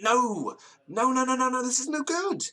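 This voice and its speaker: An actor playing a pompous, excitable gentleman who speaks in florid, theatrical language in an audio drama. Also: very high-pitched, normal speed, heavily acted. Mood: agitated, directing. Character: pompous, excitable Victorian-style gentleman storyteller. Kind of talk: dramatic first-person storytelling in audio drama.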